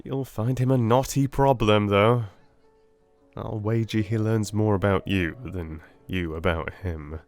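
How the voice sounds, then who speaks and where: A man playing a young gentleman friend in a theatrical audiobook, knowing and teasingly enigmatic in manner. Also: on the low side, fairly even intonation, a little slow, soft background music. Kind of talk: theatrical audiobook character acting.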